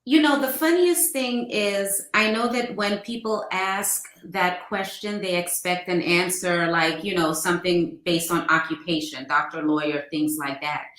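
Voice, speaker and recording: Interview guest, woman born in Guyana, chatty storyteller with a bright tone in a roomy space. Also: on the low side, a little slow, few pauses. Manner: warm storytelling with fond anecdotes. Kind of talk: casual interview.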